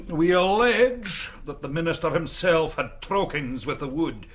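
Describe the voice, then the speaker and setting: A man's voice: a little high, takes it slowly. A man playing a sanctimonious, officious Scottish kirk complainant in a radio drama, accusing in formal language.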